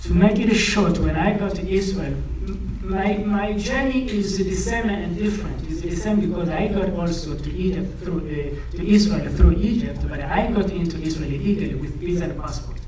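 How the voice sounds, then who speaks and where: A man's voice: high voice, crowd noise. Man, echoey theater. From Congo. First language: French. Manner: halting, restarts phrases, searches for words. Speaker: film subject in onstage Q&A. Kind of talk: onstage Q&A answer. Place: theater stage. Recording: distant, echoey theater recording with noise.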